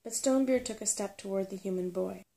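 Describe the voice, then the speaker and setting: Female ASMR storybook narrator, mellow and measured, with noticeable vocal fry. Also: medium pitch. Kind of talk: soft ASMR storybook reading.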